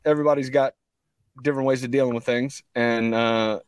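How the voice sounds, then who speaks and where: A man in a heated conversation, speaking earnestly and defensively, often halting and repeating himself as he makes his point. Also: mid-range voice, steady tone, clear, resonant tone, no echo, clean studio sound. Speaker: podcast guest. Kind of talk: heated conversational storytelling and debate.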